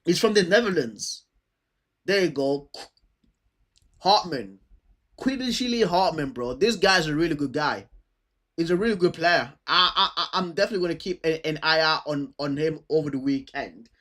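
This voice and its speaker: A man's voice: on the high side, animated, normal speed, pushed and bright. A man with a stammer chatting casually and unscripted as he hosts a football preview, using slang and repeating himself as he thinks aloud. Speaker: football fan host previewing fixtures.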